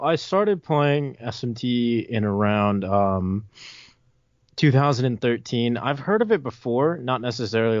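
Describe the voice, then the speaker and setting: A man's voice: on the low side, darkish tone, no room sound. Blunt twenty-year-old man, dry muffled audio. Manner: blunt, casual swearing. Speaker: podcast guest, video maker. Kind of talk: casual podcast chat.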